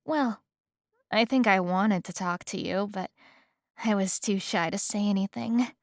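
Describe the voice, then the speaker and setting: Slow-talking, flirty female police detective, acted by a woman. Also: medium pitch, no echo, clean studio sound, natural voice. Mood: flirty, excited. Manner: slow, teasing, coy delivery with pauses. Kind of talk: ASMR roleplay, spoken directly to the listener.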